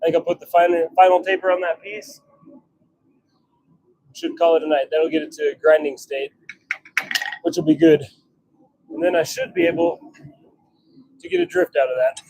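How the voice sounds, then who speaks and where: A man chatting casually on a live stream, thinking out loud as he works in his workshop. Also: on the high side, steady tone, moderate pace, long pauses, rough recording. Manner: chatty, thinking out loud while working at the forge. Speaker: livestream host, hobby blacksmith. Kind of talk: casual live-stream chat while working. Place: home forge workshop.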